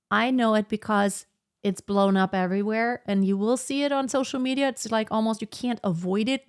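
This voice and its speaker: A woman in her thirties, a vocal coach hosting a live Q&A, chatting loosely and rambling with lots of fillers and restarts as she reads out viewer comments. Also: mid-range voice. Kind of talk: casual live-stream chat reading viewer comments. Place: home in southern Germany.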